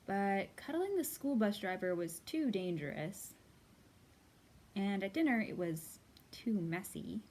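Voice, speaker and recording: A woman hosting storytime for young children, reading and talking to them in simple, expressive phrases. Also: medium pitch, a little slow. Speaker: children's library storytime host. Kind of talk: storytime read-aloud and singalong for young children.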